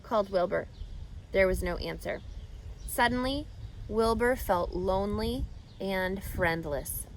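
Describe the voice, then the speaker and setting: A woman's voice: medium pitch, measured pace, a little hiss or hum, poor audio. A woman narrating a children's book expressively as a read-aloud host, speaking directly to young listeners.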